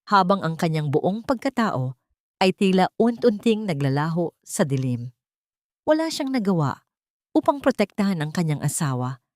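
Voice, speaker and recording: A woman's voice: lowish voice, darkish tone, no echo, clean studio sound. A woman with a Filipino accent reading a scripted dramatic story as its narrator.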